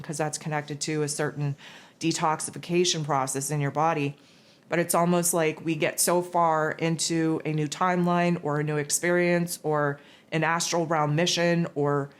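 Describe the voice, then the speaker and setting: A raspy, hoarse woman hosting a spiritual forecast, talking in a loose, rambling casual monologue. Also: low voice. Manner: rambling, loose, with many fillers and restarts. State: hoarse from losing her voice.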